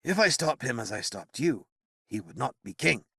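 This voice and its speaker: A man's voice: medium pitch, very animated, moderate pace, gravelly, pushed and bright, breathy edge, dry recording, voice pushed up, exaggerated voice. A man puts on a rattled old wizard with a lifted, swinging voice, urgent and fearful. The sound is dry and close. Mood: grave. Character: agitated old male wizard.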